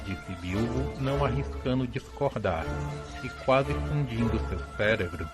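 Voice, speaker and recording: A man's voice: medium pitch, lively intonation, unhurried. A man giving scripted storybook narration for an audio drama. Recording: music behind the voice.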